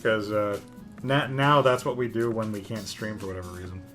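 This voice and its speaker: A man's voice: on the low side, fairly even intonation, moderate pace, fairly bright tone, soft background music. A young man with a Californian accent co-hosting a live stream, talking in a rambling, opinionated way with casual swearing. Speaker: streamer co-host.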